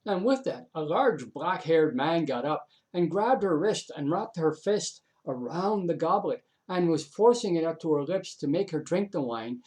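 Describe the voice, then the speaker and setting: A man's voice: on the high side, animated, a little mellow. Man telling a folk tale dramatically. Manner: dramatic, unhurried, repeats phrases for effect, conversational asides. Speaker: storyteller. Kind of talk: oral folk tale storytelling.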